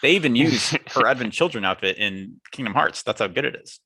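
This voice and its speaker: A man's voice: mid-range voice, animated, a little fast, pushed and bright, slightly rough, low-quality recording. A young man chatting casually as a podcast co-host. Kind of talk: casual chat.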